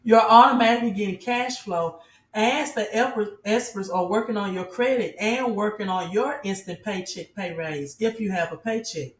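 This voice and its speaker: A woman giving an off-the-cuff motivational sales pitch as a business promoter, emphatic, repeating key phrases and asking rhetorical questions. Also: normal speed, echoey room, rough recording. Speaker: business promoter and team leader giving an online presentation.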